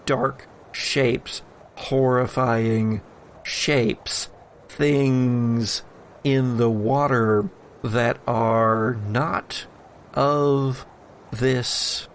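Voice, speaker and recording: A man runs a horror tabletop game as game master, speaking slowly with a creaky edge. His storytelling is dramatic and deliberate as he addresses the players. There is faint noise in the recording. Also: mid-range voice.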